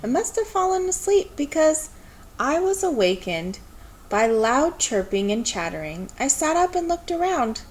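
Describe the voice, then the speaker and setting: A woman reading a children's story aloud in an expressive, measured storytelling manner. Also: high voice. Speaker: storybook reader.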